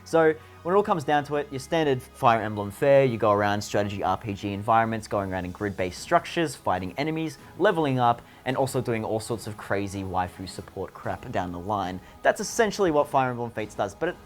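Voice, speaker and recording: A man's voice: fairly low pitch, expressive, a little fast, few pauses, soft background music. A man reviewing a video game on a show in a conversational commentary style.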